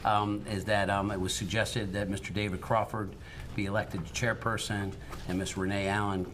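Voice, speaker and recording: Low-voiced college president with halting delivery. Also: male voice, level tone, background noise. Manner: polite, slightly halting, with many fillers. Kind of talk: formal meeting remarks.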